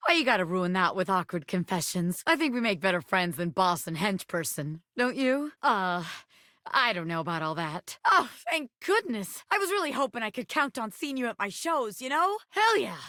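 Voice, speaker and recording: Casting: brash small-time crook bobby pin, game character. High, very animated, swaggering street slang. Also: female voice, no echo, clean studio sound. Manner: streetwise slangy tough talk, swaggering, theatrical. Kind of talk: video game character voice acting.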